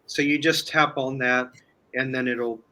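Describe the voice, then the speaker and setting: A man speaking as a guest in a casual chat, explaining step by step in a halting way. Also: medium pitch, fairly even intonation, pushed and bright, low-quality recording. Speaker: guest, online video creator.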